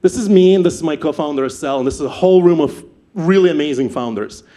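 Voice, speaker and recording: Young man, Ukrainian accent, fairly high voice, lively stage storyteller who restarts a lot. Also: quick pace. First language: not English. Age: young adult. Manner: animated, repeats words and restarts. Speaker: startup founder speaker.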